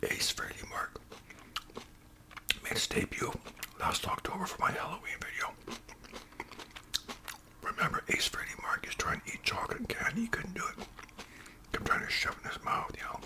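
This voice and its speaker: A man with a Canadian accent speaks in a breathy whisper, chatting casually and unhurriedly in ASMR style as host. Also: normal speed, long pauses, low-quality recording. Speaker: ASMR video creator and host.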